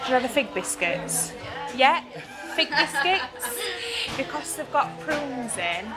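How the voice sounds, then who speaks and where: A woman's voice: high-pitched, lively intonation, slow, music in the background, crowd noise. A woman nurse with a Yorkshire accent, chatty and sing-song as she checks a toddler in a hospital cubicle.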